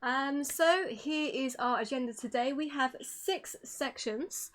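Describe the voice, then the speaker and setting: A woman's voice: sits high, slow pace, a bit bright. A woman giving an informal online presentation as a streamer, polite and apologetic in manner.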